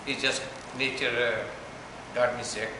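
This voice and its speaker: A man speaks at a press conference in a heavily echoing room, with steady noise in the background. His delivery is earnest and halting, and he keeps circling back to rephrase his points. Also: medium pitch. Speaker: event organizer.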